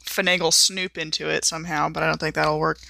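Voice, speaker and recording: A woman's voice: low voice, level tone, fairly quick, gravelly, bright, forceful tone, slight background noise. A woman bantering casually as a player in a tabletop role-playing game.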